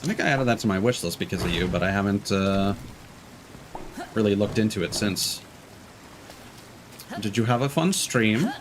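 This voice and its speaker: A man's voice: fairly low pitch, expressive, normal speed, pauses often, noisy background. A man giving casual, informal commentary on a gaming stream, with some swearing. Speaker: live streamer.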